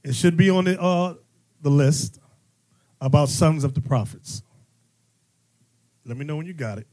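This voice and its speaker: A man's voice: fairly high pitch, measured pace, warm tone, low-quality recording. A man teaching a congregation as bishop, preaching with call-and-response questions and sudden emphatic bursts. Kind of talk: sermon-style teaching.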